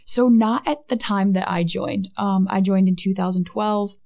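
A woman's voice: medium pitch, steady tone, normal speed, a little mellow. A woman in her thirties with a Midwestern American accent, chatting casually as an interview guest, often adding "right?" and "like". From Michigan. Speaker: guest, Air Force public affairs NCO.

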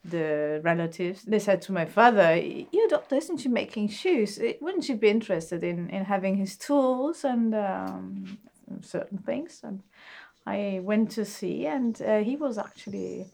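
Halting Austrian-born woman shoemaker. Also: medium pitch, expressive, vocal fry, darkish tone. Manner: halting, searching for words, many fillers. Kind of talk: interview answers.

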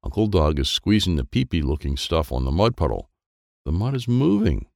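A man voicing an excitable, wistful small boy who narrates a children's storybook in simple, wide-eyed phrases. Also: deep voice, normal speed, vocal fry, dry recording, clean studio sound, full character voice. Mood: wide-eyed wonder. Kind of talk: first-person present-tense children's storybook narration.

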